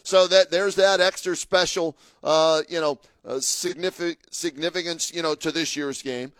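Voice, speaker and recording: A man's voice: fairly high pitch, fairly even intonation, moderate pace, fairly bright tone, smooth, dry recording. A man co-hosting a sports talk radio show, talking casually and getting emphatic as he argues a point. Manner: chatty, emphatic, gets fired up arguing.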